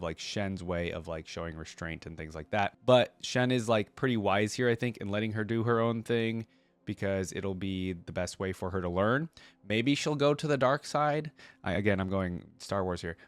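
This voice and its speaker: A man's voice: deep voice, no echo. A man hosting a reaction video, reading lore aloud and adding casual commentary as he thinks aloud.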